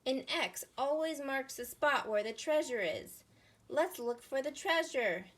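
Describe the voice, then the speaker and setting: A woman voicing eager young animal friends in a children's storybook, with simple, excited declarations. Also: high voice, unhurried, voice pushed up. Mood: eager, curious.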